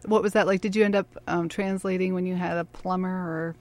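A woman hosting a conversational interview, welcoming and curious, putting clearly phrased questions to her guest. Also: on the low side, steady tone, fairly quick, a little mellow, dry recording. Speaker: interviewer, host.

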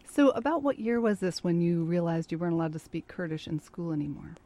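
A woman hosts an interview, introducing her guest and then asking questions. She speaks in a measured, clear way. Her voice sits low with a soft, dark tone. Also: animated. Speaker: interview host. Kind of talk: radio-style interview.

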